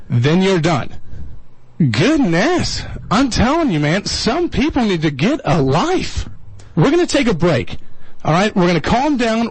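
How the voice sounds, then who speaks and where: A folksy man hosts a live call-in radio show. His voice sits a little high and sounds dry and close. He talks in an exasperated way, repeating himself as he explains things. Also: husky, dry recording, rough recording.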